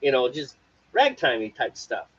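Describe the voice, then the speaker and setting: A man co-hosting a homebrewing stream, telling stories in a playful, animated way and mimicking other people. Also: medium pitch, normal speed, breathy edge. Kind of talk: casual chat. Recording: lo-fi, dull livestream audio.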